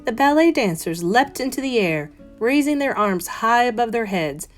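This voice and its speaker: A woman, a teacher, reading a children's picture book aloud in an expressive, carefully phrased, dramatic manner. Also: on the high side, moderate pace. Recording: soft guitar music behind voice. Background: plucked guitar music.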